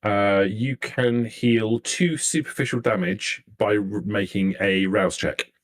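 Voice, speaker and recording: A man narrating a tabletop roleplaying game as game master, halting as he searches for words. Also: fairly low pitch, steady tone.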